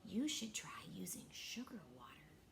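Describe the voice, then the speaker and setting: A woman's voice: medium pitch, sing-song, measured pace, vocal fry, whispered. Woman voicing a confident know-it-all boy mouse. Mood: secretive.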